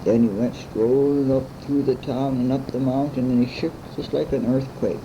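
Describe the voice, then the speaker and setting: A woman's voice: extremely low pitch, steady tone, normal speed, highs cut off. An elderly grandmother telling bedtime stories informally, in simple, repetitive, homespun phrasing. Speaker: grandmother storyteller. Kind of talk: informal oral bedtime storytelling. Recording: noisy amateur home recording.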